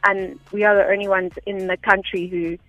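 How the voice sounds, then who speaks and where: A woman's voice: mid-range voice, little pitch movement, moderate pace, fairly bright tone, no echo, dull audio. A South African woman explaining things step by step in a conversational, unpretentious way as an interview guest. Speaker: radio interview guest, air traffic information officer. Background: faint background music.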